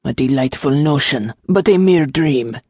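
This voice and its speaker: A woman voicing a small, fretful male gargoyle demon in an audiobook, with a low, lilting French accent and wry, courtly phrasing. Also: animated, unhurried, darkish tone, slightly rough, no room sound, clean studio sound, full character voice. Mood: dry, disapproving. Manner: formal, courtly phrasing with endearments.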